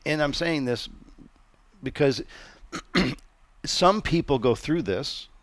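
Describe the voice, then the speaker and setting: A man's voice: on the high side, animated, a bit bright, dry recording. Earnest middle-aged man, guiding talk. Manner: earnest, guiding, halting restarts. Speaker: podcast host. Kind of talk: reflective conversational interview.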